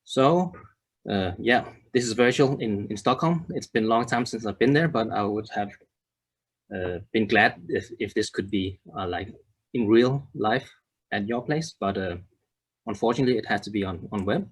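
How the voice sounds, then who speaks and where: A man in his thirties from Denmark presents to an online audience, with a somewhat low voice. He often stops with fillers, repeats words and starts phrases over. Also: normal speed, breathy edge, low-quality recording. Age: 30s. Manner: hesitant, many fillers and restarts. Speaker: conference speaker.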